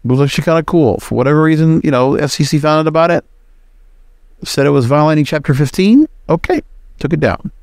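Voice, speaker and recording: A man's voice: a little high, fast talker, darkish tone, no echo, clean studio sound. A man hosting a solo podcast, talking casually in a rambling, conversational way. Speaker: podcast host.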